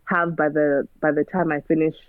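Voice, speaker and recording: A woman's voice: low voice, flat delivery, moderate pace, no room sound. A woman, a guest in a casual conversation, talking earnestly and turning preachy, firing off rhetorical questions. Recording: dry, phone-like narrow sound.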